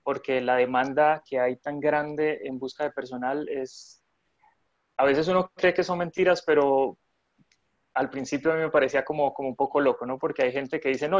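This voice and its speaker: A man's voice: fairly high pitch, moderate pace, dry recording. Colombian man talking over a dull call. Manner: relaxed, many fillers and restarts. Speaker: nurse interviewee. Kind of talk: remote interview chat.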